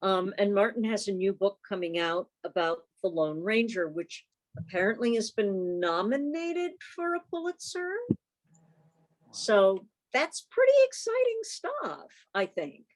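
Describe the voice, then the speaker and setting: A woman's voice: medium pitch, sing-song, a little slow, rough recording. A woman chairing an informal club meeting over a video call, chatty and rambling with wry asides.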